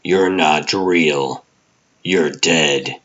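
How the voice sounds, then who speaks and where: A man's voice: a bass voice, drawn-out words, a bit bright, highs cut off, exaggerated voice. A man playing a driven, serious vigilante hero in a comic dub, speaking earnestly. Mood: confused, subdued.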